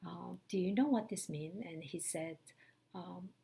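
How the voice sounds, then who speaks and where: Gravelly middle-aged woman. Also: fairly low pitch, measured pace, dark tone. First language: Korean. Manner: hesitant, earnest, many fillers. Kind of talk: personal testimony.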